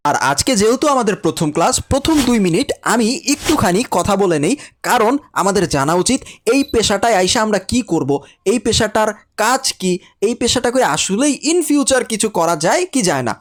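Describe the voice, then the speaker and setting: A man's voice: sits high, expressive, brisk. A man with a Bangladeshi accent teaching a tutorial in a chatty, direct way, repeating instructions for emphasis. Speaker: animation teacher. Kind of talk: tutorial lesson with casual direct address.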